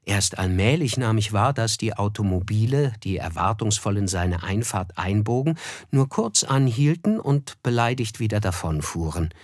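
An actor playing a reflective, observant young gentleman narrating a literary German radio drama, with music behind him. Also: lowish voice, expressive, moderate pace, no room sound, clean studio sound.

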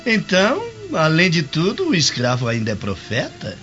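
A man's voice: fairly high pitch, huge pitch swings, normal speed, pushed and bright, exaggerated voice. An actor playing a haughty, indignant young Roman nobleman in a radio drama. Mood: indignant surprise. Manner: haughty, demanding, exclamatory. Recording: dull lo-fi radio drama, music underneath.

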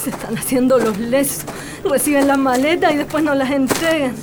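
A woman's voice: high voice, brisk, noisy background, natural voice. An actress with a Chilean accent playing an anguished, insistent woman searching for her missing partner in a radio drama. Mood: bitter, anxious.

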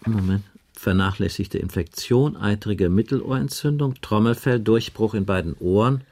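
An actor playing a blunt, world-weary police inspector in a radio crime drama, speaking in a matter-of-fact, sardonic and deliberate way. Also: male voice, lowish voice, mellow. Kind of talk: 1980s German radio crime drama acting.

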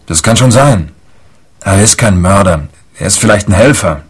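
An actor playing an eccentric, unworried client in a comic radio play, husky and matter-of-fact about absurd things. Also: male voice, fairly low pitch, expressive, normal speed. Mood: hedging, protective. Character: eccentric male client, unworried, defending his crazy brother.

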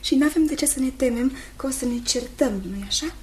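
An actress playing a sweet, earnest, unhappy young fiancée in a Romanian radio play, speaking tenderly and hesitantly. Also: female voice, sits high, heavy background noise, natural voice. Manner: tender, hesitant, repeats phrases, seeks agreement. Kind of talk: Romanian radio theatre acting.

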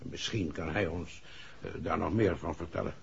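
An actor playing an authoritative, impatient senior police chief in a 1960s Dutch radio play. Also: male voice, sits low, fairly even intonation, moderate pace, vocal fry, noisy background. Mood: formal. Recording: dull, muffled vintage radio recording.

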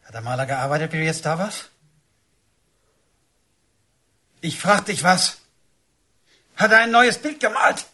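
An actor playing a stern, domineering policeman father in a radio drama, commanding and interrogating in a husky voice. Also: fairly high pitch, big pitch swings, moderate pace, bright, forceful tone, heavily acted. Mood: menacing, coaxing. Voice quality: husky, rasping. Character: stern, domineering father, a village policeman, pressuring his son. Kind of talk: German radio drama acting.

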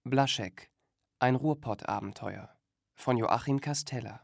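A male announcer reads radio play credits in a measured, very slow way. His mid-pitched voice is gravelly with fry. The studio sound is close and dry. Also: no echo. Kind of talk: scripted radio drama credits.

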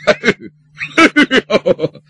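A man's voice: very high voice, sing-song, very slow, vocal fry, sharp tone, breathy edge, pitched above the performer's own voice, exaggerated voice. A man voicing a smug, boastful husband in Cantonese, gloating gleefully in an audiobook drama.

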